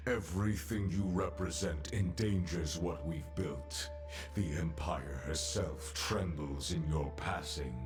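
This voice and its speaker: An actor playing a stern, imperious judge in a video game, speaking formally and solemnly, as if pronouncing sentence. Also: male voice, sits low. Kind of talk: dramatic video game voice acting.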